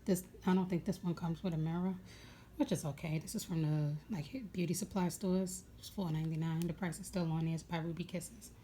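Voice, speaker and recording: A young woman with a raspy voice chatting casually at home, rambling with many fillers as she goes through her makeup bag. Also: fairly low pitch, fairly even intonation, fairly quick, mellow, slight background noise. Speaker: beauty vlogger.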